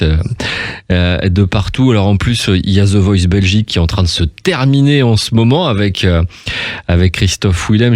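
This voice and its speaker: A man with a fairly low voice hosts a casual radio interview. He chats in a rambling, enthusiastic way, drifting into asides. The sound is close and dry, as on a studio microphone. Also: a little mellow, dry recording. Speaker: radio host interviewing a guest.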